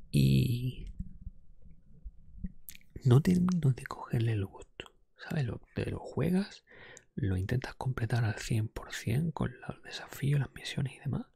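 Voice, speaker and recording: A man talking in a casual, breathy ASMR whisper close to the microphone, thinking aloud with some hesitation. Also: medium pitch, dark tone, no echo. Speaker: ASMR podcast host. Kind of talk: whispered ASMR talk, casual game ranking.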